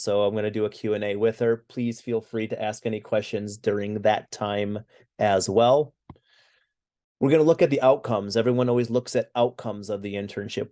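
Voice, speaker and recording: Man, American accent, webinar co-host, middle-aged, low voice, warm mellow tone, halting with fillers. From United States. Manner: frequent fillers and restarts. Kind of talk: presenting a webinar. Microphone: video call.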